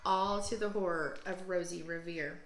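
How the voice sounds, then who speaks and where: A woman teacher reading a children's storybook aloud with expressive, deliberate storytelling phrasing. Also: on the low side. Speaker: teacher, guest read-aloud host. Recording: roomy echo, music behind. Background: storybook app music.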